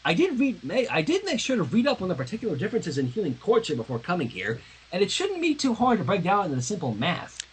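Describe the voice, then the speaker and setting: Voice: medium pitch, huge pitch swings, quick pace, husky, full character voice. A reader voicing a studious, earnest young pony princess in human form, lecturing in a stern and emphatic way for a fan story reading. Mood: pondering.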